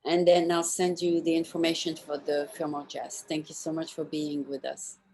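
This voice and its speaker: Low-voiced woman hosting a chat. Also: flat delivery, moderate pace, mellow, a little hiss or hum, low-quality recording. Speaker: show host. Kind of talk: casual interview chat, wrapping up.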